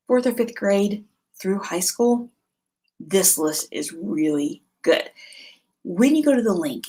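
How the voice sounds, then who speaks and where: A middle-aged woman hosting a vlog, talking casually and conversationally, thinking aloud as she gives recommendations, with a slightly husky voice. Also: on the low side, rough recording. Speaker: homeschool vlog host. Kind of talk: casual informal product recommendations to camera.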